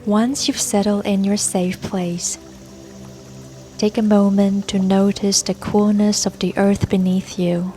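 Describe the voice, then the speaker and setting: A woman leading a scripted guided meditation in a gentle, soothing, unhurried manner. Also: mid-range voice, flat delivery. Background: soft music and babbling brook sounds.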